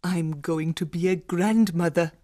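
A woman's voice: on the low side, unhurried, mellow, close to an everyday voice. A woman playing a tender, soothing middle-aged mother in an audiobook drama. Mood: tender, relieved.

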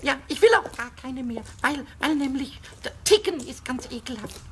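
An actor voicing a small, excitable kobold boy in a children's radio play, with stammering, pleading repetitions. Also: sits high, moderate pace, slight background noise. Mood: contrite, pleading. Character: small contrite, excitable kobold imp, childlike male sprite.

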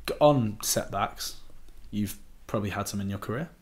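A man's voice: on the low side, moderate pace. A young man, hosting a casual podcast interview in an affirming, slangy way. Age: young adult. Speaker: podcast host. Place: living room in a home. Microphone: desktop microphone on table.

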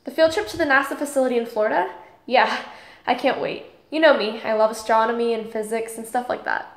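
A woman plays an earnest, curious schoolgirl in an audio drama. Her voice sits fairly high and is expressive, recorded in an echoey room. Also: a little fast, lightly acted. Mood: eager, chatty. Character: Holly, curious, eager teenage schoolgirl.

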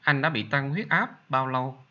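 A man with a Vietnamese accent teaching English, reading each sentence in Vietnamese and then repeating it slowly in English for learners to copy. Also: medium pitch, sharp tone, lo-fi sound. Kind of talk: slow bilingual drill, repeating each sentence.

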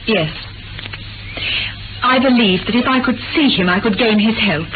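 An actress playing a guarded, distressed abandoned wife in 1940s radio drama, speaking formally and defensively. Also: female voice, a little high, normal speed, noisy background, close to an everyday voice. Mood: distressed, confessing. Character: guarded, distressed woman abandoned by her husband. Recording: muffled vintage radio with music.

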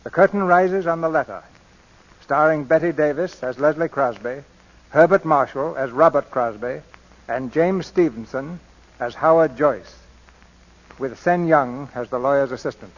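A man's voice: fairly high pitch, normal speed. A man introducing and narrating a radio drama in a stately, polished showman manner. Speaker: radio drama host and producer. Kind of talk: formal introduction and scripted narration. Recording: dull old radio broadcast.

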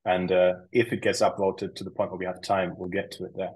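A man's voice: low voice, level tone, brisk, a little mellow, breathy edge. A man hosting and moderating a webinar, steadily asking questions and reading out audience questions.

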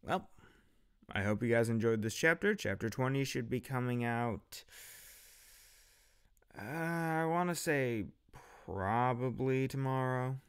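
A man's voice: mid-range voice, lively intonation, slow pace, pauses often, smooth. A man chatting casually behind the scenes as an audio drama director, with self-deprecating asides.

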